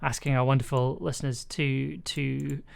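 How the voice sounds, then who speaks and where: A woman's voice: rumbling low, steady tone, normal speed, gravelly, a little mellow, smooth. A woman chatting casually and thinking aloud on a livestream while editing audio. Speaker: audio drama producer and streamer. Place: indoors at a computer, livestream.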